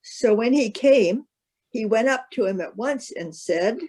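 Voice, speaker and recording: Woman, scripture narrator, slightly high pitch, formal scripted reading, measured delivery. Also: expressive, darkish tone, breathy edge.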